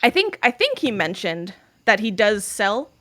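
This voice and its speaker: A woman's voice: medium pitch, very animated, measured pace, fairly bright tone. Woman running a tabletop rpg, rambly with lots of ums and likes. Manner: filler-heavy, loose. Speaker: game master. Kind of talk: narrating a tabletop game.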